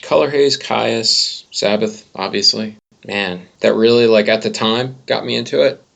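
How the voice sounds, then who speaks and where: Gravelly, enthusiastic man. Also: fairly low pitch, steady tone, measured pace, fairly bright tone. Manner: enthusiastic, rambling, swears casually. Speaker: music blogger, interview guest. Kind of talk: casual interview chat.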